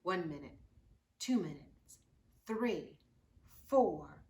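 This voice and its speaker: A woman reading a children's picture book aloud with expressive, dramatic storytelling and drawn-out key words. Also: lowish voice. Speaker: youth services librarian reading aloud.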